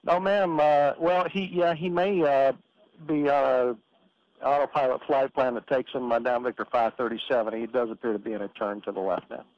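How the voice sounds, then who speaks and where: A man working as an air traffic controller, giving brisk, procedural instructions in radio phraseology with filler pauses. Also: mid-range voice, steady tone. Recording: muffled radio transmission with hiss.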